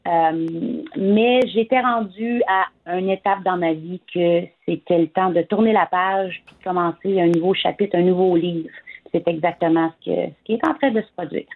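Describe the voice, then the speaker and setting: A woman's voice: on the low side, steady tone, moderate pace. A woman in her forties with a Franco-Ontarian French accent, a singer chatting as an interview guest with frequent hesitations. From Eastern Ontario, Canada. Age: 40s. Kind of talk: casual interview chat. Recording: tinny phone line.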